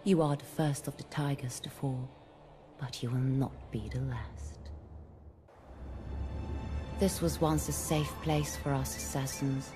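An actress playing a young, vengeful female assassin in a video game, measured, solemn and intense. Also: extremely low pitch, fairly even intonation, over music. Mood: grim resolve. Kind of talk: dramatic game cutscene dialogue and narration.

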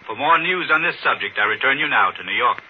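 A man's voice: medium pitch, lively intonation, fast talker, a little hiss or hum. Muffled 1940s American radio correspondent, male. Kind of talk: 1940s radio news commentary.

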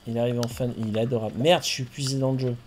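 Male streamer, chatty and playful, cusses lightly, relaxed gaming talk. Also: medium pitch, racing, a little hiss or hum. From France. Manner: chatty, jokey, playful swearing. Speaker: streamer and host. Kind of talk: casual chat while playing a farming game.

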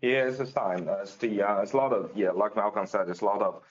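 A man speaks on a panel over a video call, explaining things plainly with slightly non-native phrasing. His voice sits very low. The call audio is dull and lo-fi. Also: steady tone, fast. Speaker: panelist, facade general manager.

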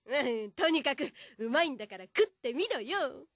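A woman's voice: sits high, sing-song, moderate pace, fairly bright tone, no room sound. A woman playing a brash, tomboyish young woman in an anime radio drama, blunt, slangy and cocky. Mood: insistent.

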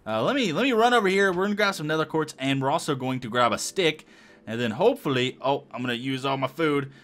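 Young male let's-player, bright and animated, a little hoarse, rambling commentary. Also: a little high, fast talker. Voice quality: hoarse-sounding. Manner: rambling, thinking aloud with many fillers. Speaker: Minecraft let's play host. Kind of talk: casual gameplay commentary.

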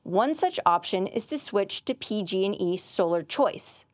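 A young woman narrates an educational piece in a clear, measured way. Her pitch is moderate. The recording is close and dry. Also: animated, dry recording. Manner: clear, measured, informative delivery. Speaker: assistant winemaker.

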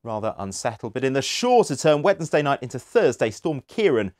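Man in his 40s, TV weather forecaster, measured broadcast delivery, dry close studio sound, lapel mic. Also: mid-range voice, sing-song, darkish tone, no room sound. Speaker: weather presenter. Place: studio in front of weather graphics.

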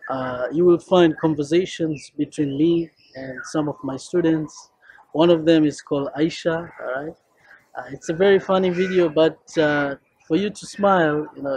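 A man's voice: a little high, moderate pace, a little mellow, husky, dry recording, low-quality recording. A young man with a Kenyan accent, a friendly Swahili teacher introducing his course to camera on an outdoor rooftop, talking loosely with many fillers.

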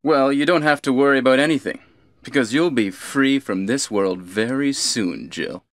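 An actor playing a cold, scheming villainous commander in a video game, deliberate, condescending and menacing in stilted cutscene delivery. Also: male voice, medium pitch, fairly bright tone, no echo, clean studio sound, close to an everyday voice.

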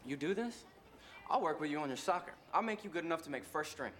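A man's voice: a little high, expressive, fast talker, fairly bright tone, a little hiss or hum. A young man playing an earnest teen soccer star who pushes his case eagerly. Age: young adult. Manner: eager, persuasive.

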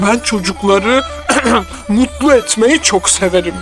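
Bir çizgi filmde çocukları kandıran sinsi, kötü bir adamı seslendiren, sahte tatlılıktan tehdide geçen bir erkek oyuncu. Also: male voice, high-pitched, a little slow, over music. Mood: falsely friendly. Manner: falsely sweet, then menacing and cackling. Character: sly, wicked adult male swindler luring children. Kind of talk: cartoon dub acting.

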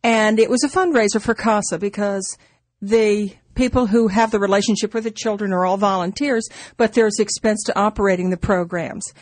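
A woman's voice: mid-range voice, normal speed, dry recording. A woman co-hosting a radio show in casual, unscripted chat, with a teasing, interjecting manner. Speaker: radio co-host.

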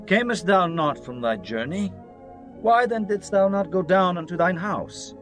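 A man playing a commanding, calculating ancient king in a dramatized scripture reading, imperious and deliberate. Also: high voice, animated, fairly bright tone. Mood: questioning. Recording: music behind the voice.

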